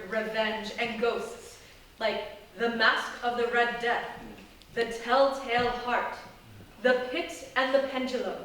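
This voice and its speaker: A woman's voice: a little high, a bit bright. A woman hosting a literary parody segment on stage, narrating in a theatrical, mock-scholarly way. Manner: theatrical, mock-serious literary critic delivery. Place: small theatre stage before an audience. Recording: very echoey live theatre, distant, faint noise.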